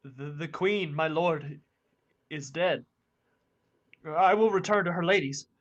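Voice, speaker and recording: A man's voice: a little high, slow pace, muffled recording. A young man playing a deferential, nervous servant to a king in a radio Shakespeare play. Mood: anxious. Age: young adult.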